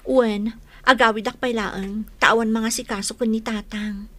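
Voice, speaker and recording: A sweet young girlfriend, high voice. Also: steady tone, measured pace, a bit bright. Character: young woman Gina, sweet and gentle.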